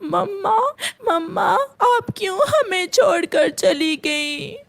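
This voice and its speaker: A woman's voice: extremely high pitch, lively intonation, slow pace, gravelly, a little hiss or hum, voice pushed up, exaggerated voice. A woman voicing a frightened, tearful young boy bird with a whimpering, childlike manner in a children's cartoon. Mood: grieving.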